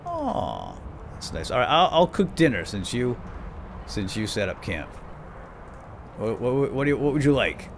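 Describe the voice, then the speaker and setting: A man's voice: medium pitch, slight background noise. A man giving playful, theatrical, lightly role-played video game commentary, talking to his companion as he plays. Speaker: gaming video host. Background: game ambience and effects.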